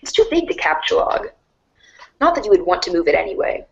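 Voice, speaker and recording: A woman voicing a wry, mock-grandiose storybook narrator in a dramatic read-along style, deadpan and sardonic. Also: medium pitch, fairly quick, gravelly, muffled recording. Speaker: narrator of a fan readers' theater show.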